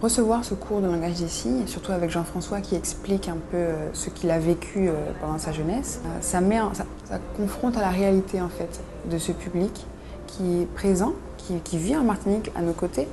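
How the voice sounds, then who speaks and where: A woman organizing a pageant who explains its lessons in a measured way and often pauses to hesitate. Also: lowish voice, background noise. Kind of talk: explanatory interview commentary. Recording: music bed underneath.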